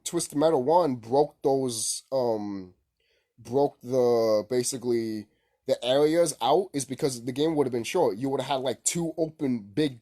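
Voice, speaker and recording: A man's voice: mid-range voice, mellow. A man giving a casual, unscripted opinion rant as a gaming commentator, blunt and repetitive with heavy swearing.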